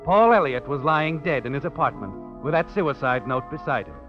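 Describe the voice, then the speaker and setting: An actor voicing a sardonic American storyteller of sinister crime tales, knowing and dramatic, in 1940s radio suspense style. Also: male voice, on the high side, lively intonation, normal speed, close to an everyday voice. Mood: knowing, ominous. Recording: muffled vintage radio recording. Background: dramatic music.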